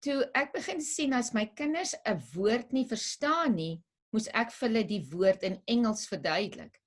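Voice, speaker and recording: A woman's voice: a little high, normal speed. A middle-aged woman with an Afrikaans accent, talking as an interview guest in an earnest, hesitant way with many fillers. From South Africa. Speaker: homeschooling mother, guest. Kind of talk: casual interview talk, switching into Afrikaans.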